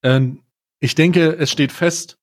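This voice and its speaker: Guy on a podcast, bit high pitched, blunt slangy storytelling, throws in english words. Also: steady tone, slow. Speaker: podcast co-host. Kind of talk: casual anecdote telling.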